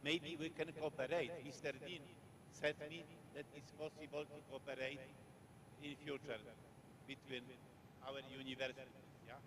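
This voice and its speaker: A man from Poland with a Polish accent, giving a guest lecture over slides in short, halting, repetitive phrases. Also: on the high side, level tone, noisy background. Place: lecture hall.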